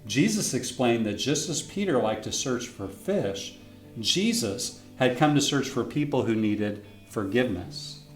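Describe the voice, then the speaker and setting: A man's voice: mid-range voice, animated, unhurried. A man reading his own children's book aloud as a friendly storyteller, stopping to ask young listeners questions. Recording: roomy echo with faint guitar music.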